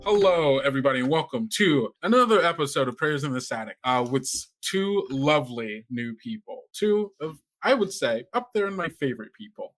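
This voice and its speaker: A man's voice: mid-range voice, expressive, brisk, hardly any pauses, a bit bright, soft background music. A man speaking informally as a podcast host, chatty and rambling through his announcements.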